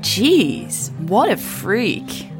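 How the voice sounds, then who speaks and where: A woman's voice: medium pitch, very animated, drawn-out words, music in the background, pitched above the performer's own voice. A woman voicing an amused, melodramatic female tech blogger with a theatrical, bantering manner in an audio drama.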